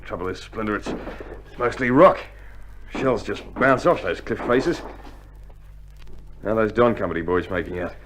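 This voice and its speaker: An actor playing a seasoned, gruff older Australian infantryman in 1960s radio drama style, trading slangy, wry banter. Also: expressive, moderate pace, pauses often, fairly bright tone. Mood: wry, weary. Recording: noisy old vinyl radio drama, battle rumble.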